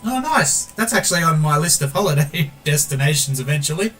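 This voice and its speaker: A man's voice: fairly high pitch, lively intonation, fairly quick, a bit bright. An Australian man streaming a game, chatting casually and calling everyone mate while he reads viewer messages aloud. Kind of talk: casual gameplay stream chat.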